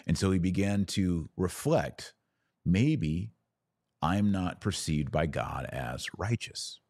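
A man with a low-pitched voice speaks as a podcast host and teacher. His delivery is conversational and earnest, explaining as he goes. The recording is dry and close, with very little room sound. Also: expressive, takes it slowly, a little mellow, dry recording. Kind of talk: casual teaching podcast talk.

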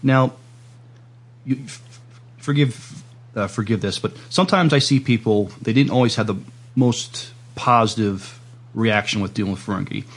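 A man hosting a playful, casual podcast interview, speaking politely and haltingly as he plays along with his guest. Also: fairly low pitch, breathy edge.

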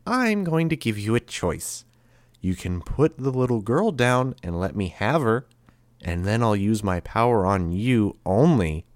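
A man voicing a cruel, calm immortal boy who talks softly and slowly with flat, cheerful menace. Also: lowish voice, no echo, voice pushed up. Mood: coldly menacing. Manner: soft, slow, menacing and flatly cheerful. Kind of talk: audiobook character voice.

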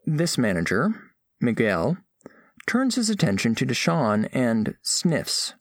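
A scholarly alien envoy, played by a man, speaks slowly and with great formality. The pitch rises and falls widely as each precise phrase is set out, in a close, dry recording. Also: no room sound, exaggerated voice. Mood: grave, puzzled. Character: formal, scholarly alien diplomat narrating a first contact. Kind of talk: scripted audio fiction narration.

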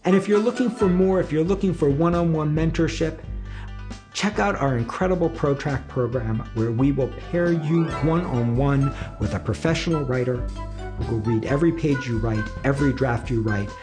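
A man's voice: on the high side, normal speed, over music. A man hosting and teaching in a motivational podcast style, speaking emphatically with repetition and frequent rhetorical check-ins. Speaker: screenwriting teacher and podcast host.

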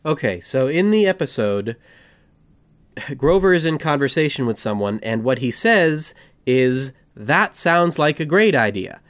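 A male podcast host talking casually in a mid-range voice. He rambles, corrects himself and gets excited. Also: medium pitch, a little slow.

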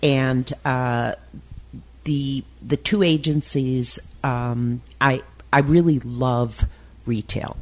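A woman's voice: extremely low pitch, fairly even intonation, extremely slow, darkish tone. A woman, chatting casually and hesitantly as an interview guest. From Milwaukee, United States. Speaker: talk show guest, designer and writer.

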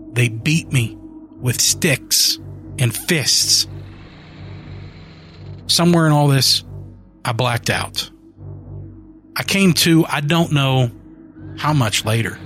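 Slow, grim male horror narrator. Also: medium pitch, a bit bright. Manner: grim first-person storytelling. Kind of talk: scripted horror story narration.

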